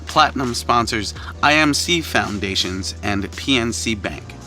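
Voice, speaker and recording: A man, the director and producer, reading scripted closing credits in a measured, formal way with playful touches. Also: mid-range voice, animated, pushed and bright, soft background music, low-quality recording.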